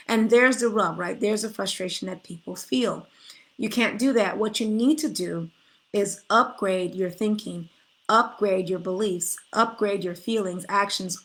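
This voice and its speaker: A woman's voice: medium pitch, fairly bright tone. Earnest woman life coach inviting listeners. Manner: earnest, inviting, spiritual phrasing with repeated restarts. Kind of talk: motivational direct-to-camera talk.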